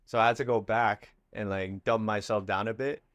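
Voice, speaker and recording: A man in his twenties tells his story in an easy, rambling way, dropping fillers as he goes. He grew up in Miami after leaving Ecuador. His voice sits low and sounds dry and close to the mic. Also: moderate pace, no room sound. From Ecuador, raised in Miami. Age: 20s. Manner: relaxed, loose, many fillers. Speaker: guest entrepreneur. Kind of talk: casual interview talk.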